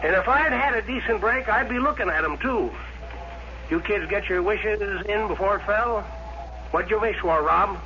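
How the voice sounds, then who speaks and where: Bossy folksy desert dad, high-pitched. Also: male voice, normal speed, pushed and bright. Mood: grumbling, needling. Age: middle-aged. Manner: folksy rural grammar, needling and bossy. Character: greedy, bossy, folksy father running a desert gas stop. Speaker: actor in a radio drama. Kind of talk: 1950s radio acting. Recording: muffled noisy vintage radio recording.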